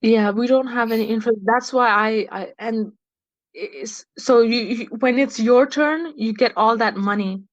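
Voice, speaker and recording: A woman's voice: fairly high pitch, measured pace. A young woman hosts a casual podcast chat, talking freely and often restarting mid-sentence. Her voice comes through slightly dull and lo-fi, with a dry, close sound and no room echo. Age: young adult. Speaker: podcast host.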